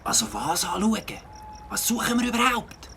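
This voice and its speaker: A woman's voice: mid-range voice, animated, moderate pace, vocal fry, sharp tone, a little hiss or hum. A woman playing a young, questioning member of a group of adventurers in a children's audio drama. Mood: puzzled.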